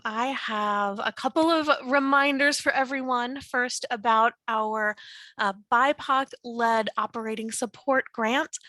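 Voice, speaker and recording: Bright-voiced woman giving unhurried updates. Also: on the high side, dry recording. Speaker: organization representative giving updates. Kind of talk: informal announcements on a call.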